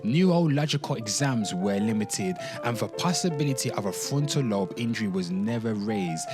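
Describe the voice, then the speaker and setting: A man hosting an online show, giving a dramatic reading with off-the-cuff commentary, drawn-out exclamations and asides to his listeners. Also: fairly low pitch. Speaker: host of an online pop culture show. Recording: music playing under the voice. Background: music.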